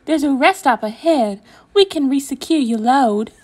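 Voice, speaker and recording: Woman doing a small eager sea creature, very high-pitched, perky, helpful, storybook character. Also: animated, moderate pace, darkish tone.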